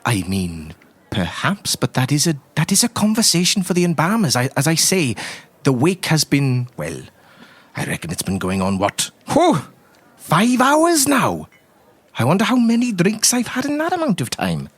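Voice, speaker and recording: A man's voice: on the high side, huge pitch swings, moderate pace, husky, no room sound, exaggerated voice. A man playing a chatty, tipsy priest who loves tall tales, with an Irish accent and a rambling, lilting delivery, in an improvised tabletop game. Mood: tipsy, jovial. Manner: rambling, lilting storyteller with repeated tag phrases.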